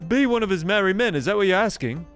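Man playing a high-voiced, earnest medieval townsman. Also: lively intonation, fast, fairly bright tone, soft background music. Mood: earnest, curious. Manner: high-pitched, earnest, politely inquisitive. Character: agreeable, puzzled medieval Nottingham townsman.

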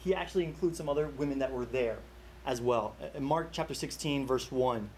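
A man's voice: mid-range voice, fairly quick, a little mellow, a little hiss or hum. A young man leading a Bible study, telling a personal story in a casual, chatty way with many fillers and restarts.

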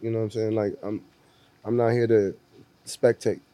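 A man's voice: fairly low pitch, level tone, moderate pace, dark tone, no echo. A man in his forties from Puerto Rico, a guest musician talking casually and laughing often. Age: 40s. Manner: laid-back, laughs often, repeats "you know what I'm saying". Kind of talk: casual interview chat.

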